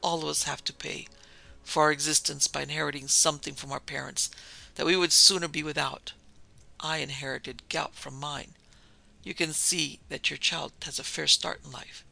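Man playing a domineering, gravelly older baronet father. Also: fairly high pitch, normal speed, sharp tone, exaggerated voice, poor audio. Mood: stern. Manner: blustering, scornful, condescending.

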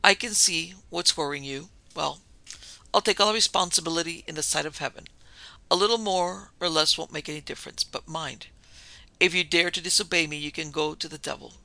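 Man playing a domineering, gravelly older baronet father. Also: a little high, fairly quick, sharp tone, no echo, full character voice. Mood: stern. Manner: blustering, scornful, condescending.

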